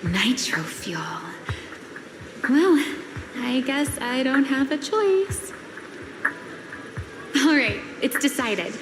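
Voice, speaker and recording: A woman playing a wistful, calmly stubborn traveler in a video game, with a languid, musing manner. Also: sits high, extremely slow, pauses often, exaggerated voice. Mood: musing, resolved. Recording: roomy sound with clear music behind.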